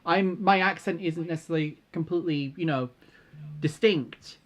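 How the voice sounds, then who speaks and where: A co-host with a medium-pitched voice, chatting through advice in a thoughtful way. The phrasing is tentative and conversational, often rounded off with a short check-in question. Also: normal speed. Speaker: co-host giving feedback. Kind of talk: casual advice chat.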